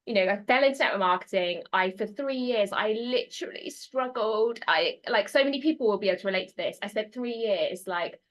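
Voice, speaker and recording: A British woman in her thirties, a coach and podcast guest, talking in a bubbly, quick way with many fillers. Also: medium pitch. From United Kingdom. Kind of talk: casual podcast interview.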